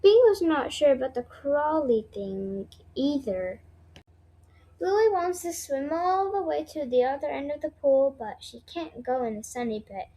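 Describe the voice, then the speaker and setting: A woman's voice: high-pitched, expressive, a little slow, mellow, rough recording. A woman reading a children's storybook aloud, sometimes stumbling over her words. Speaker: storybook read-aloud presenter.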